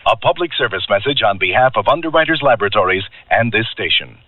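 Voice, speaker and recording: A man's voice: on the low side, animated, quick pace, no echo. A man reading an orderly, instructive public service announcement for radio. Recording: tinny band-limited old radio broadcast, faint music.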